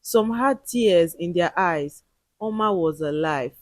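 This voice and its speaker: A woman's voice: on the low side, expressive, slow, no room sound. A woman narrating a scripted story in a dramatic, suspenseful and emotive way.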